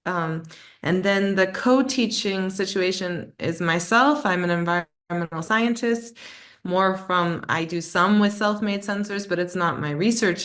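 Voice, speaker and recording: A woman's voice: fairly low pitch, fairly bright tone. A woman presenting online, answering a conference question in an explanatory way, often restarting her sentences. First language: English.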